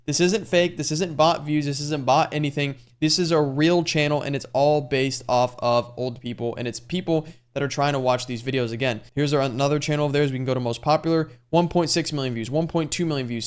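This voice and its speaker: Young male online coach, quick and smooth, slightly high voice, casual salesy tutorial patter. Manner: fast, casual, salesy talk with frequent "like". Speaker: YouTube growth coach. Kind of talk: screen-share tutorial.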